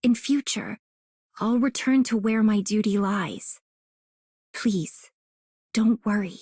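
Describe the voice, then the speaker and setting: A woman's voice: mid-range voice, slow, a little mellow, no room sound, clean studio sound. A woman voicing a youthful, proud and earnest soldierly operator in scripted game lines. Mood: solemn, resolute.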